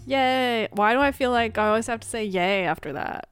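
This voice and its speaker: A woman's voice: fairly high pitch, normal speed, clear, resonant tone, faint music behind. A woman co-hosting a true-crime podcast, playful and chatty, who leads the case narration. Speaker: podcast co-host. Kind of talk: casual true-crime chat.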